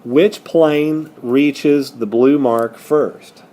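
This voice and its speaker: A man's voice: mid-range voice, steady tone, drawn-out words, mellow. A man teaching a math lesson step by step, posing questions and then answering them himself. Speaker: high school math teacher.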